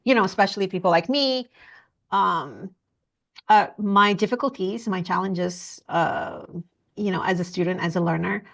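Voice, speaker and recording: A woman talks as a guest on a podcast, earnest and halting as she searches for words. Her middle-range voice has a gravelly, fry-edged texture. She restarts her sentences often and leans on small fillers. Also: medium pitch, fairly bright tone. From Indonesia. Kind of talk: conversational interview.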